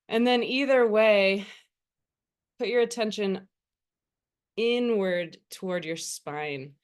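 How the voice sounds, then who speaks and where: A woman leading a guided meditation as a teacher, speaking gently and soothingly with unhurried pauses between phrases. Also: medium pitch, clear, resonant tone.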